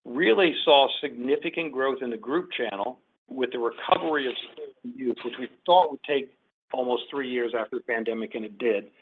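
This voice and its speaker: A man's voice: mid-range voice, slightly rough. A man speaking as a company executive, giving unscripted, businesslike answers on an earnings call and often restarting his phrases. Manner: businesslike, halting restarts with repeated words. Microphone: conference call line. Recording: muffled, band-limited conference call audio.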